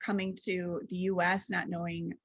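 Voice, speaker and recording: A woman's voice: fairly low pitch, flat delivery, normal speed, muffled recording. A woman hosting an interview, chatting in a friendly, affirming way with her guest. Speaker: interview host. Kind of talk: interview conversation.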